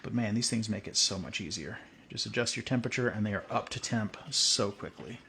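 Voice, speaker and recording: A man hosting a hands-on tutorial, thinking aloud in a relaxed way while working at a workbench. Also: on the low side, fairly quick, vocal fry, slight background noise. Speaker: video host.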